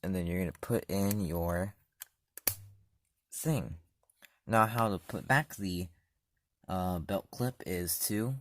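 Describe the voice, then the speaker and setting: Deep-voiced man giving a casual demo. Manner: loose and chatty, repeats himself, trails off with "so, yeah". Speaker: channel host reviewing a gadget. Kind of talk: casual step-by-step product demo.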